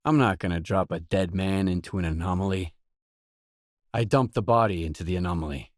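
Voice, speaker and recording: A man's voice: low-pitched, fairly quick, dry recording, studio quality, lightly acted. A man playing a matter-of-fact mercenary stalker, the hero of a video game, speaking in terse, businesslike dialogue lines. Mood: matter-of-fact, guarded.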